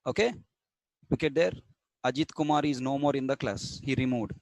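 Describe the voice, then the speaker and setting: A man's voice: mid-range voice, moderate pace, creaky, a little mellow, no room sound. A stern man from Coastal Andhra with a Telugu-accented Indian English, lecturing an online programming class, repeating points and quizzing students. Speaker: programming instructor.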